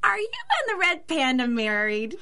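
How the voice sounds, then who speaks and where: Woman playing a very high, eager boy sidekick. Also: wildly swooping pitch, normal speed, sharp tone, heavily acted. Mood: innocent curiosity. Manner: gee-whiz, slangy, whiny. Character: eager, earnest street-kid boy sidekick. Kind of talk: 1930s-style radio drama acting.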